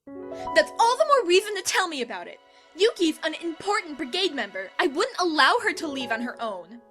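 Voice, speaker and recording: A woman playing a bossy, suspicious, hot-tempered high school club leader in an amateur anime audio drama, blunt and demanding. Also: big pitch swings, moderate pace, exaggerated voice. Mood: indignant. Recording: loud music behind the voice. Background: loud music.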